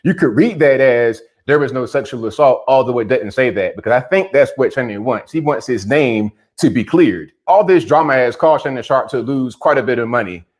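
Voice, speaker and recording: A man's voice: mid-range voice, expressive, normal speed, hardly any pauses. A man giving opinionated commentary on a news story, talking bluntly and directly. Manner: direct, addresses subjects bluntly.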